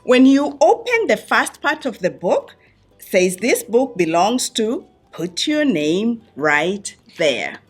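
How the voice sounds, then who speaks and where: A woman speaks to young children as if at story time. Her pace is slow and her pitch moves up and down a great deal. She explains things simply and asks questions, with soft music in the recording. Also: very animated, breathy edge. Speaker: refugee center worker reading a picture book to children. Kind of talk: read-aloud and talk addressed to young children.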